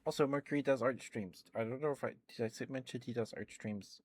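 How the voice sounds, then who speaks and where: A man's voice: mid-range voice, fast, darkish tone. A man doing ASMR as a live streamer, chatting casually and affectionately with viewers close to the microphone. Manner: affectionate, addresses viewers by pet names.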